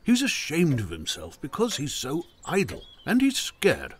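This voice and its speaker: An actor playing a restless, ailing older country gentleman in an audio drama, wry, kindly and hesitant. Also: fairly high pitch, huge pitch swings, unhurried, slight background noise, heavily acted. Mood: gentle, candid.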